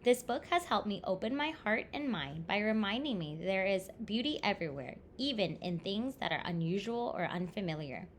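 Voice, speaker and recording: A woman reading a children's picture book aloud expressively, with deliberate phrasing and stressed words. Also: mid-range voice, very animated, a little hiss or hum. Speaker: storybook reader.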